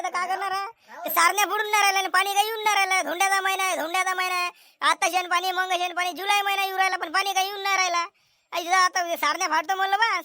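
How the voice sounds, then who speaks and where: A woman playing a grumbling, bossy male village farmer in a comedy cartoon, with a Vidarbha Marathi accent and loud, colloquial delivery. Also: extremely high pitch, full character voice. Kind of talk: homemade rural comedy cartoon dialogue.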